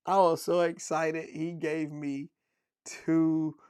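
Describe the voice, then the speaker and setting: A man's voice: a little high, flat delivery, takes it slowly, darkish tone, clear, resonant tone, no echo, studio quality. A man co-hosting a casual podcast, playfully telling dad jokes and laughing at them. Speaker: podcast co-host.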